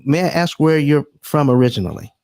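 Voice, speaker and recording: A man's voice: a little high, lively intonation, a little fast, darkish tone. A man hosting a live finance show who talks emphatically, repeats himself and calls the audience folks. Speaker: host.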